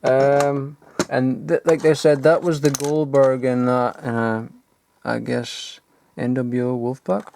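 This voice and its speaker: A man's voice: mid-range voice, slow pace, vocal fry, background noise. Rambling man sorting old toys. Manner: rambling, mumbling asides, swears casually, gets excited at finds. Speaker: toy collector vlogger. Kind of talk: casual off-the-cuff commentary while sorting toys. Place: cluttered loft.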